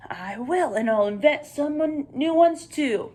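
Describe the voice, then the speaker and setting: A woman playing a gentle, loving father in a picture book, soft and calmly reassuring. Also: sits high, slow pace. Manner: soft, calm, assuring.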